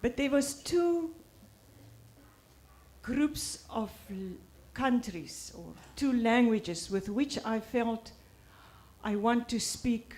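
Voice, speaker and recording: Slow-speaking South African woman author. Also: a little high, expressive, a little mellow. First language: Afrikaans. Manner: pauses often, halting with fillers. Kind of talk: reflective talk at a book presentation.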